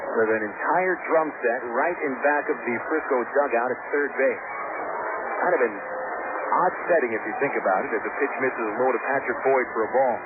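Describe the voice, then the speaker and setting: A man calls a baseball game over the radio, drifting into relaxed asides between pitches. His voice sits at an ordinary pitch. It comes through a thin, phone-like broadcast buried in loud hiss. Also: moderate pace. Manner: easygoing, chatty between pitches. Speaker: play-by-play announcer.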